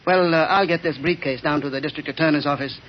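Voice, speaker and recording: Actor as high-voiced pompous newspaper villain. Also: male voice, fast talker, natural voice. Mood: smooth, officious. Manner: formal, blustering. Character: pompous, shifty newspaper publisher, secretly villainous. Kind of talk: 1930s radio drama acting. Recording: muffled, hissy old radio recording.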